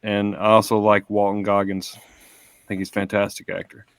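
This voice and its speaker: A man's voice: fairly low pitch, completely flat delivery, brisk, clean tone, dry recording. A man chatting casually as a podcast panelist. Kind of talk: casual chat.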